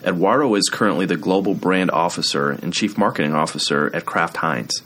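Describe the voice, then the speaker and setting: A man's voice: sits low, brisk, a bit bright. A man hosting a business podcast, asking questions with brief, upbeat acknowledgments. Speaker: podcast host.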